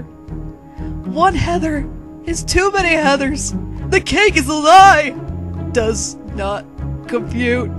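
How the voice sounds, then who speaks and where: Very animated high-voiced woman, theatrical storyteller. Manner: theatrical, playful, sarcastic. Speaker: fan fiction reader. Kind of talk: dramatic reading of a comic parody, second-person narration. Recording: quirky background music under the voice. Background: quirky instrumental music.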